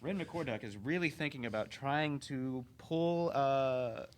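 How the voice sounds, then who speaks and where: A man's voice: mid-range voice, a little slow. A man playing a tabletop game, casually reading item descriptions aloud, with faint noise behind him.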